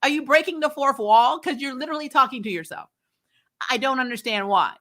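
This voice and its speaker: A woman hosting a casual, opinionated TV recap, animated and sarcastic, with mock quotes and some mild swearing. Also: sits high, a little fast. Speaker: host of a TV review channel.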